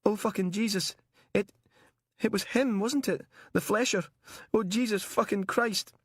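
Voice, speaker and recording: Audiobook thriller: male reader as panicking captive man, high, pleading, tearful, frantic. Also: moderate pace, breathy edge, dry recording, clean studio sound. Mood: anguished, crying. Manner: pleading, terrified.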